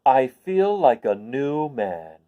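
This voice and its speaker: A man voicing a friendly, naive straw scarecrow in a children's storybook, with a husky, drawn-out, emphatic delivery. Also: mid-range voice, sing-song, lo-fi sound. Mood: grateful.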